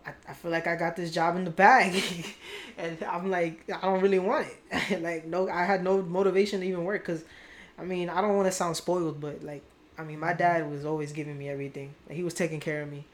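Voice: mid-range voice, steady tone, fairly quick, slight background noise. A young adult vlogger telling a story to viewers in a candid, rambling way, full of slang and swearing.

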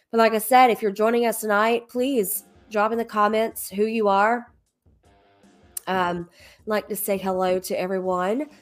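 A woman with a cold and a slightly nasal voice, hosting a church livestream in a chatty way with many ums and restarts. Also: medium pitch. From Alabama. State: congested from a cold, a little nasal. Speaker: ministry leader hosting a livestream. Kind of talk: casual livestream preaching.

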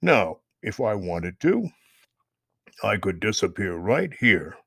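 A grumpy old storybook toad, put on by a man reading aloud, gruff and curt with a gravelly, grunting voice. He speaks slowly and heavily, and the sound is dry and close. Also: on the low side, big pitch swings, a bit bright, no room sound, full character voice.

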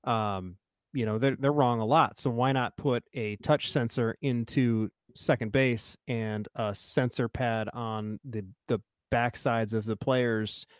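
A man's voice: mid-range voice, fairly even intonation, slow, clean tone, no room sound, studio quality. A man co-hosting a casual sports podcast, joking and playfully exaggerating, often restarting his sentences. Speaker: podcast co-host.

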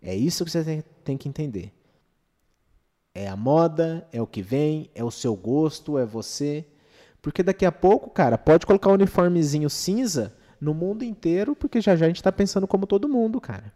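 Mellow Brazilian man in a reverberant room. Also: on the high side, big pitch swings, normal speed. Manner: slangy, rhetorical, frequent check-in questions. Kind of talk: casual, opinionated podcast conversation.

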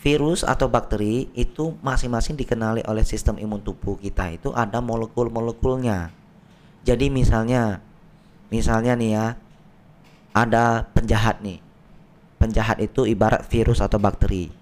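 Slightly hoarse doctor explaining. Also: male voice, fairly low pitch, moderate pace, a little mellow. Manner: patient, uses simple everyday analogies. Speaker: doctor guest. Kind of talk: explanatory podcast talk. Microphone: podcast microphone.

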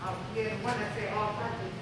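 A woman's voice: medium pitch, level tone, fairly quick, low-quality recording. A woman with an American accent chatting informally and familiarly as a shopkeeper and podcast host. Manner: informal, folksy, addresses people familiarly. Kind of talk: casual chat with customers and listeners. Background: music.

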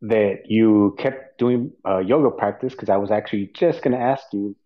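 A man's voice: lowish voice, normal speed, dull audio. A man interviewing a guest in casual conversation, friendly, with rambling questions and restarts.

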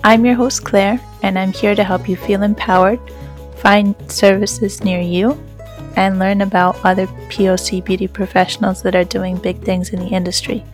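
A woman's voice: medium pitch, level tone, moderate pace, faint music behind. A woman hosting a skincare podcast, reading a scripted intro and then chatting with a guest in an upbeat, enthusiastic way. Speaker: podcast host and esthetician.

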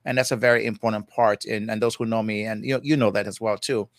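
A man's voice: lowish voice, fairly even intonation, fast talker, dry recording. Older Jamaican man, therapist guest, chatty and easygoing, dry close-mic sound. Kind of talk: casual interview talk.

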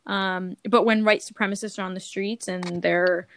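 A woman's voice: medium pitch, steady tone, moderate pace, creaky, over a noisy crowd. A young woman co-hosting a casual podcast chat, thinking aloud hesitantly with many fillers. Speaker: podcast co-host.

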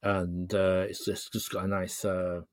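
Low-voiced man, dry close sound. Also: little pitch movement, unhurried, no echo. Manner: hesitant, thoughtful, explanatory. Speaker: podcast guest, writer. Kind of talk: casual interview chat.

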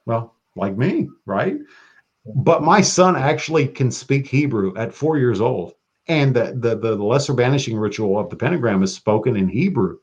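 A man's voice: medium pitch, animated, moderate pace, a little mellow, highs cut off. A man chatting as a podcast guest, flamboyant and profane, calling people baby and girl. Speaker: guest, occult practitioner. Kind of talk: casual podcast chat.

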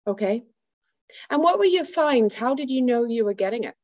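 A woman's voice: fairly high pitch, animated, normal speed, a little mellow. A woman hosting a podcast, asking questions and giving brief, encouraging replies. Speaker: podcast host. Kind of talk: interviewing. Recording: dull lo-fi audio.

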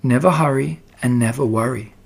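A man voicing a calm, motherly female spider in a children's story, comforting and gently firm. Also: mid-range voice, normal speed, creaky, husky. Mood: gently instructing.

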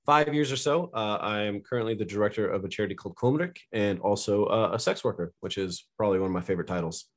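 A man's voice: lowish voice, animated, fast. A young American man from California, a podcast guest who talks loosely and jokes a lot. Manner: joking, loose and candid, laughs often. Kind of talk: casual interview chat.

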